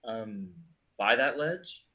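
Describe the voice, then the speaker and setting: A man's voice: medium pitch, animated, takes it slowly. A man playing a hapless, long-suffering fellow with wry exasperation in a comic stage reading, on dull audio. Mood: hesitant. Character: Lazarus, hapless, long-suffering man who keeps dying.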